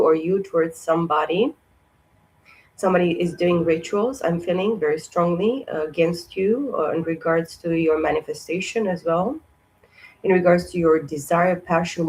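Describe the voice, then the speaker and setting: A woman with a hoarse, fading voice giving a casual tarot reading, talking haltingly with many fillers and restarts. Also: low voice, darkish tone, a little hiss or hum, low-quality recording. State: hoarse, losing her voice. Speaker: tarot reader.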